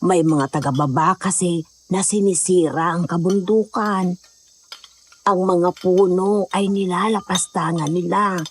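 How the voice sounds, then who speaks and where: A woman playing an older, motherly mountain villager in a horror audio drama, hosting guests with unhurried, faintly eerie hospitality. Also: on the low side, fairly even intonation, slight background noise, close to an everyday voice. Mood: grave, knowing.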